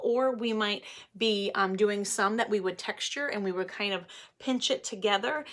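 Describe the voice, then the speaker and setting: A woman's voice: medium pitch, fairly bright tone. A woman speaking as an early-childhood teacher, explaining things simply to children and parents in a craft and read-aloud lesson.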